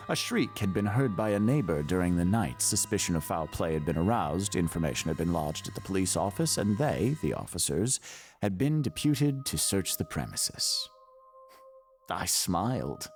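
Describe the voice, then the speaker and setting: A crazed, cunning killer telling his own gothic horror confession. His lowish voice swoops dramatically between hush and outcry. The delivery is theatrical and unhinged, with faint music underneath. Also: full character voice. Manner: theatrical, swinging from hushed whispers to shrieks. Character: mad, cunning murderer confessing, gleeful then frantic.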